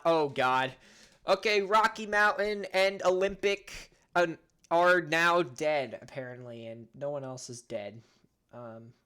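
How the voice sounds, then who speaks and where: A fairly high-voiced American man with a northern US accent reads game results aloud, joking as he goes. His delivery is loose and offhand, and he trips over names now and then. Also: fairly bright tone. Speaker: gaming channel host. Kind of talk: casual commentary reading game events aloud.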